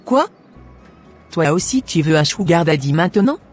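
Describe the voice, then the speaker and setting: A man's voice: a little high, big pitch swings, normal speed, soft background music. Man putting on a cocky young woman friend. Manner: cocky, teasing, confident. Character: self-assured young woman friend, streetwise.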